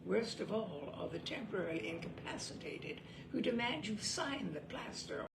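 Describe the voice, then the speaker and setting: A woman's voice: medium pitch, very animated, moderate pace, gravelly, roomy sound, background noise. A woman reading humorous essays aloud with dry, wry expressiveness. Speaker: reader.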